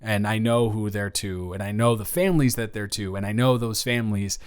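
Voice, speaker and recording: A man's voice: lowish voice, clean tone, lightly acted. A young American man playing a chatty, awkward best friend in an improvised audio roleplay, talking casually and often interrupting himself. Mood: awkward, candid. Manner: rambling, halting, self-interrupting. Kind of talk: improvised boyfriend-style audio roleplay, casual one-sided chat.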